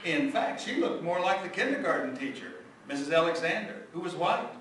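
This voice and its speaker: A man playing the narrator of a family story in a scripted radio drama, telling it in a measured, deliberate way. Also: on the high side, animated, fairly bright tone, slight background noise. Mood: measured, wry. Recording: echoey, distant microphone.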